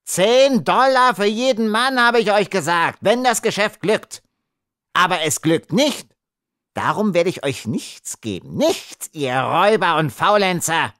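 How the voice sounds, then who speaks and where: A spiteful, monkey-like little schemer from an audiobook. The voice is shrieking and far higher than a normal man's, swinging wildly with greedy outrage, in a close, dry recording. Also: expressive, unhurried, fairly bright tone, no room sound. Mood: furious. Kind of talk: audiobook character acting.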